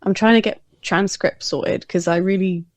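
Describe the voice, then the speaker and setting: A woman's voice: medium pitch, fairly even intonation, moderate pace, dry recording. A woman who is a guest on a podcast. She has a non-American English accent and talks candidly, often putting herself down and saying "like". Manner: candid, self-deprecating, many "like"s. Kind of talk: casual conversation.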